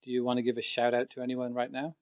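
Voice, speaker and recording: A man's voice: mid-range voice, monotone, fast, clear, resonant tone. A man interviewing two guests, asking plain, polite questions.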